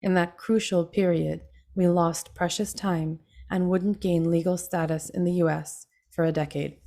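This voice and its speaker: Low-voiced Trinidad-born woman reading her memoir. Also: fairly even intonation, a little slow, dark tone. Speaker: author reading and answering. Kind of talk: reading from her memoir, then panel answers.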